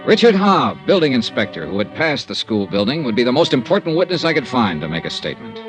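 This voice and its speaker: An actor playing a tough, wry insurance investigator in a 1950s radio drama, clipped and probing. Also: male voice, medium pitch, very animated, quick pace, soft background music, natural voice. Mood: brisk narrating. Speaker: lead actor and narrator.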